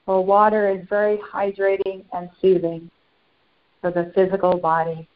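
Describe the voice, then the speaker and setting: A serene, benevolent ascended master, channeled by a woman leads a guided meditation. The phrases are short and unhurried, parted by long pauses, in a mid-pitched voice. The recording is dull and lo-fi. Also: little pitch movement, lightly acted. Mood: serene, reverent. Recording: dull lo-fi sound.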